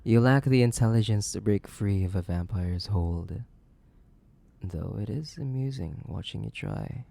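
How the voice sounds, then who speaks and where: A man playing a sadistic, possessive, centuries-old vampire in a horror roleplay, theatrical and menacing, with a creaky voice that lapses into breathy whispers. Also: on the low side, unhurried, mellow. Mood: gloating, hungry. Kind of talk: dramatic horror roleplay audio, addressing the listener.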